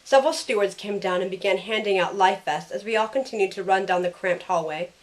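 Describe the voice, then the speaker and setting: A woman acts out a caring young heroine telling her own story in an audio drama. It is scripted first-person narration. Her voice has a medium pitch. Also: a little fast, natural voice, rough recording. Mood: tense narration.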